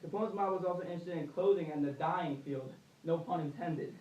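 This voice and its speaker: A man's voice: on the high side, brisk, dark tone, natural voice. A young man playing a chatty, colloquial reporter in a scripted amateur 1920s radio newscast. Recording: echoey room, distant mic.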